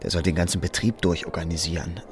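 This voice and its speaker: A man's voice: low voice, little pitch movement, fast talker, faint music behind. A man playing an anxious, affectionate young husband and hard-pressed salesman in a German radio drama, hesitant and easily worked up. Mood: worried.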